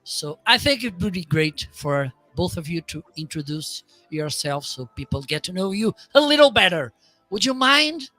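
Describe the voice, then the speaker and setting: A man with a Portuguese accent hosting a live stream chat, playful and quick to laugh. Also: high-pitched, no echo, soft background music, poor audio. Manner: laughs often, playful and animated.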